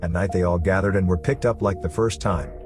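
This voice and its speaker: A man with a fairly low voice delivers scripted recap narration. He tells a story as a narrator, and music plays clearly underneath his voice throughout. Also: fairly even intonation, brisk. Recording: music running behind the voice. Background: background music.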